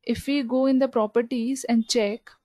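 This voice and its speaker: A woman narrates a screen tutorial as a trainer, explaining each step and restating key points. Her voice sits a little high yet has a dark, relaxed tone. The sound is dry, with very little room in it. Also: moderate pace, no room sound. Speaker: software trainer.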